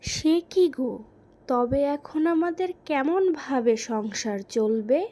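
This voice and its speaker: A woman voicing a caring, worried housewife and mother in a cartoon, with a gentle, coaxing manner. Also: sits high, expressive, slow, exaggerated voice. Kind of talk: cartoon voice acting.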